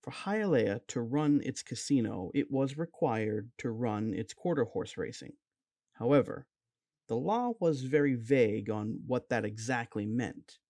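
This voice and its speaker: A man reading a scripted documentary narration in an even, measured way. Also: mid-range voice, dry recording, studio quality.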